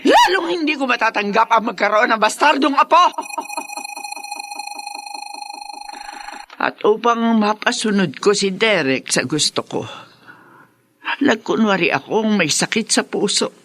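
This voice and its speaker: A woman's voice: a little high, lively intonation, normal speed, a bit bright, slight background noise. An actress playing a proud, domineering older mother in a melodramatic radio drama, emotional and theatrical. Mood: haughty, scornful.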